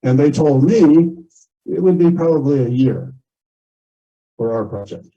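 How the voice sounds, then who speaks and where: A man's voice: a little high, measured pace, mellow. A man chairing an informal community meeting, making announcements and reminders. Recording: dull, muffled meeting audio.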